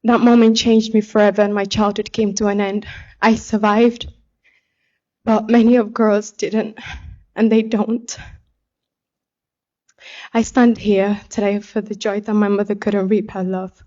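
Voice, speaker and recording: A young woman from Somalia speaks in an earnest, deliberate way, keeping her pitch steady and level as she delivers a prepared speech. Her voice comes through a dull, lo-fi recording. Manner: earnest and deliberate, with occasional restarts. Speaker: beauty pageant contestant.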